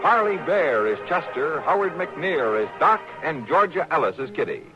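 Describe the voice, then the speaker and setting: A man announces a 1950s radio program in a formal, sonorous voice. His pitch moves in an animated way. It comes through a dull old radio recording with loud music behind. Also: normal speed.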